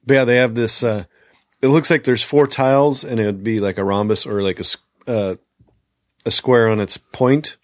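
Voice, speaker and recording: A man's voice: medium pitch, fairly even intonation, normal speed, no echo. A man hosts a laid-back podcast, reading news stories aloud and breaking in with his own remarks. His voice sits in the middle range and sounds dry and close. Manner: reads news items aloud with asides. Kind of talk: casual chat.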